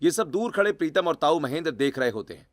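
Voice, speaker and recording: A man's voice: fairly high pitch, big pitch swings, fast talker, dry recording, clean studio sound. A man narrating a scripted dramatic story in the present tense.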